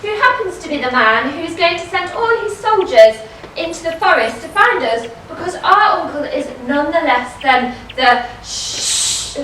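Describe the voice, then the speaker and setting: A woman's voice: very high voice, big pitch swings, normal speed, bright, forceful tone, heavily acted. A woman playing a bossy, spirited young noblewoman in a stage pantomime, speaking with theatrical emphasis. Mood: indignant, bossy. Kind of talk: broad stage pantomime acting. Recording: echoey hall, distant mic, faint noise.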